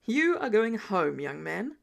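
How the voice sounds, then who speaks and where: A woman voicing a masked vigilante man in his thirties, gruff and protective, speaking in terse, firm scolding lines for an audio story. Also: mid-range voice, sing-song, takes it slowly, full character voice. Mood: stern, protective.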